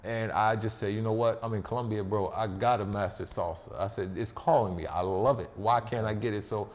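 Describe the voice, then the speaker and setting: A man appearing as a podcast guest, telling his stories with confident, boastful swagger. His voice is low, and it trails off into a long reverb tail. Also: fairly even intonation, fairly quick, darkish tone. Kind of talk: casual interview chat.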